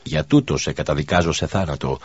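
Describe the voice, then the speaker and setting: A man's voice: very deep voice, husky, no echo, pitched below the performer's own voice. A man voicing a stern governor who pronounces a death sentence, in a dull lo-fi recording.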